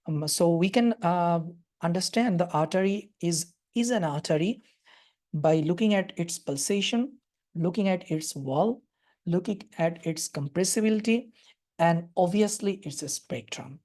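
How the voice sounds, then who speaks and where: A woman's voice: on the low side, measured pace, dark tone. A woman with a Bangladeshi accent giving a live webinar lecture and answering questions, talking with frequent hesitations and fillers. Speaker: radiology lecturer.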